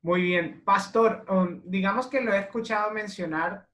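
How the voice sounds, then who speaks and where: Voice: medium pitch, moderate pace, fairly bright tone. An interviewer asking polite, formal questions. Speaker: interviewer host. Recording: roomy echo.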